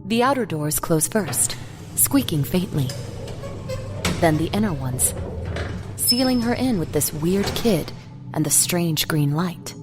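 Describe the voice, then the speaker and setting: A woman narrates a scripted dramatic story. Her voice sits a little low, and music is clearly heard beneath the reading. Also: lively intonation, slow pace, pauses often.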